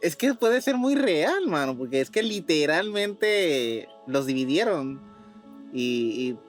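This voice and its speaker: A man's voice: fairly high pitch, sing-song, takes it slowly, soft background music. A man chatting casually as a podcast co-host, informal and slangy. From Puerto Rico. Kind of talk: casual chat.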